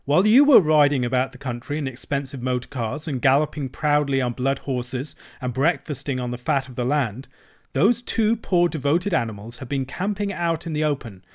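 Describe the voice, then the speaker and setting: A man's voice: on the high side, big pitch swings, normal speed, voice pushed up. A man voicing the Water Rat, a cross but kind-hearted male rat who scolds his friend. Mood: scolding. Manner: scolding, reproachful.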